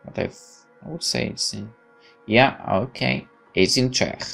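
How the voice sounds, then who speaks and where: A man's voice: medium pitch, a little slow, creaky, a bit bright, faint music behind. A man reading a visual novel aloud as a let's play host, with informal muttered asides and interruptions to himself.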